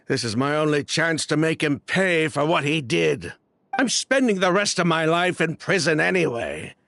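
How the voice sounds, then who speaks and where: An actor playing a defiant, embittered, grieving criminal in a video game, with theatrical delivery. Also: male voice, on the high side, creaky, sharp tone, dry recording, clean studio sound, exaggerated voice. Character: bitter, defiant, grief-stricken male criminal mastermind. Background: faint music.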